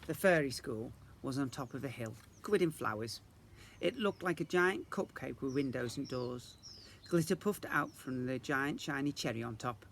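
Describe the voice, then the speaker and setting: A man reading a children's story aloud in the expressive style of a teacher reading to young children. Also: mid-range voice, normal speed, vocal fry, slight background noise.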